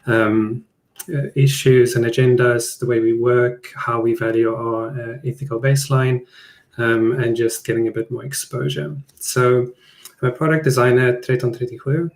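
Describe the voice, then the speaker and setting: A man with an Afrikaans walks through a live webinar. His delivery stays plain and conversational, with little rise and fall in pitch, set at a middle male pitch. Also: steady tone, normal speed. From South Africa. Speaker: product designer presenting a webinar. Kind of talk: presenting a live online talk.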